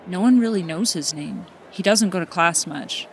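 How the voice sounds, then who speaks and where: A woman playing a protective, frank teenage high-school girl in a comic fan dub. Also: moderate pace, a little hiss or hum, close to an everyday voice. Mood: ominous, earnest.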